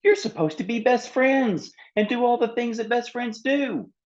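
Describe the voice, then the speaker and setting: A man's voice: very high voice, moderate pace, muffled recording, voice pushed up. A man voicing a demanding, hot-tempered young girl in a picture book read-aloud. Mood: pleading.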